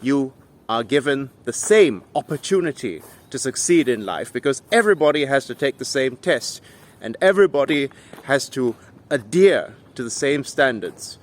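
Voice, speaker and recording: A man delivering an argumentative monologue to viewers in an emphatic, deliberate way, with rhetorical questions. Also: medium pitch, big pitch swings. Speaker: video essayist and English tutor.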